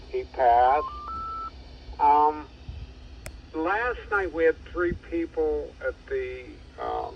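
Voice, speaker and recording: Man on ham radio, casual and meandering, muffled transmission with faint noise. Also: a little high. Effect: two-way radio transmission. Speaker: amateur radio operator, volunteer examiner.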